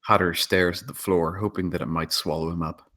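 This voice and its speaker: A man's voice: deep voice, steady tone, fairly quick, fairly bright tone. A man narrating stage directions in a dramatic screenplay table read.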